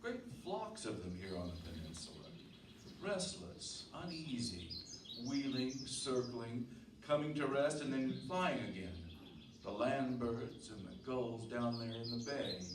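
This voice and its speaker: A man playing a brooding, uneasy married farmhand in an earnest, measured old-time radio drama style. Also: medium pitch, lively intonation, close to an everyday voice. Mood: uneasy, musing. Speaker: actor, narrator and lead. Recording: roomy, distant mic, clear background noise.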